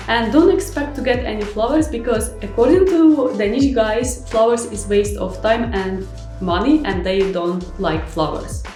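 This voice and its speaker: A woman's voice: a little high, darkish tone. Chatty Ukrainian-accented young woman vlogging. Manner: chatty, frank, non-native grammar with many fillers. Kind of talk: casual vlog monologue. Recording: echoey room with faint music.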